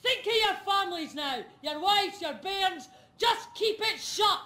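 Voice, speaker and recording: A woman's voice: very high-pitched, expressive, a little slow, pushed and bright, exaggerated voice. An actress playing a foul-mouthed Scottish female bank robber with jovial menace in a video game. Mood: menacing. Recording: echoing room sound.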